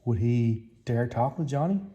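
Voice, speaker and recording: A man's voice: medium pitch, moderate pace, warm tone, highs cut off. A man reading a children's story aloud as a scripted narration. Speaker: storybook reader.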